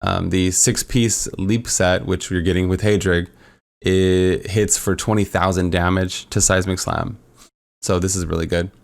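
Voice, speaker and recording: A man's voice: sits low, steady tone, unhurried, vocal fry. A man walking casually through a game build tier list, upbeat and rambling with lots of fillers, his voice a little worn. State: losing his voice. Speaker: gaming content creator.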